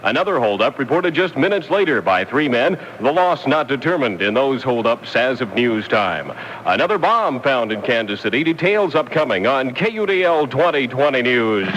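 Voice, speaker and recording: A man's voice: mid-range voice, big pitch swings, normal speed, a bit bright, a little hiss or hum. A man reading a scripted radio newscast in old top-40 station style. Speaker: radio newsreader. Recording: dull lo-fi old radio aircheck.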